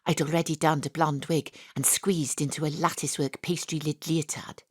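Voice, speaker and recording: A woman's voice: deep voice, level tone, normal speed, a little mellow, slightly rough, no room sound. A woman playing a wry, hard-boiled private detective, narrating her case dryly in a noir audio drama. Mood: wry, gritty. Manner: wry, dry first-person storytelling.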